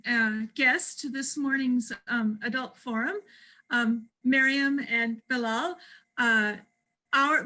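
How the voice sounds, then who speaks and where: A woman hosting a church adult forum, introducing guests haltingly with many fillers over a video call. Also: sits high, sharp tone, low-quality recording. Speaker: forum host. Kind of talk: hesitant introduction.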